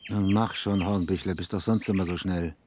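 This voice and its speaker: An actor playing a gruff, dry police detective, brusque and authoritative, in a radio drama. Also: male voice, low voice, fairly even intonation, moderate pace, background noise, close to an everyday voice. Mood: grim, muttering. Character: gruff, dry, commanding male police detective, middle-aged son. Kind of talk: German radio drama acting.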